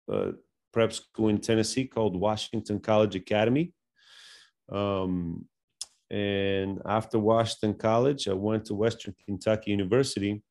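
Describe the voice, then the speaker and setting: A man's voice: lowish voice, a little mellow. A middle-aged man with a Bulgarian accent, a strength coach telling his story in a casual interview, full of fillers and restarts. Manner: halting, many fillers and restarts. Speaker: strength and conditioning coach, guest.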